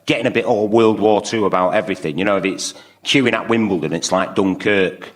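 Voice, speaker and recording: Male panel comic, British, lowish voice, loose rambling anecdotal banter. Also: a little fast. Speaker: panel show guest.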